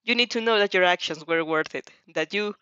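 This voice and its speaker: A woman's voice: lowish voice, a little fast, bright, forceful tone, clear, resonant tone, no echo, muffled recording. A woman reading a story aloud expressively as an amateur, often stumbling and rereading phrases. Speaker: fanfiction audiobook reader.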